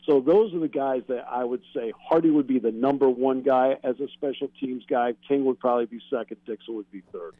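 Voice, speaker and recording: An American man analyzes players as a guest on sports radio. His mid-pitched voice explains with emphasis. The sound is dry and band-limited, like a call-in line. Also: brisk, few pauses, dry recording. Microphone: remote call-in connection. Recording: narrow, dull phone-like call-in line.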